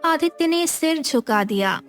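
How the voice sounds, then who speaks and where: A woman's voice: high-pitched, lively intonation, unhurried, a bit bright, faint music behind. A woman narrating a scripted moral tale as a storyteller.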